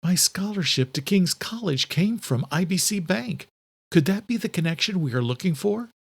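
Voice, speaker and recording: A man's voice: sits high, lively intonation, moderate pace, gravelly, breathy edge, pitched above the performer's own voice, exaggerated voice. A man voicing a spirited, emotional young woman with a snappy manner in an audiobook. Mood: earnest.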